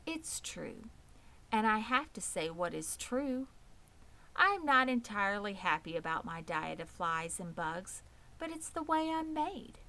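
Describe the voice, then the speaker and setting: A woman's voice: fairly high pitch, pushed and bright, voice pushed up, heavily acted. A woman voicing a poised, pleasant and proud female spider in a children's storybook, composed, precise and matter-of-fact. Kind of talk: children's storybook read aloud.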